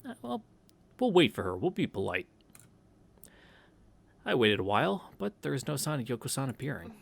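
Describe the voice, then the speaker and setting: A man's voice: mid-range voice, very animated, moderate pace, vocal fry, dry recording. A man hosting a visual novel read-through, mixing casual, rambling commentary with reading aloud. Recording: game music under the voice. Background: game background music.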